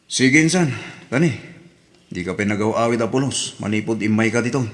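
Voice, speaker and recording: A man's voice: fairly low pitch, lively intonation, fairly quick, natural voice. A man playing an easygoing, generous cousin in an audio drama, speaking agreeably. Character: easygoing, generous male cousin who lends money. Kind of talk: radio drama acting in Ilocano. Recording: long reverb on the voice.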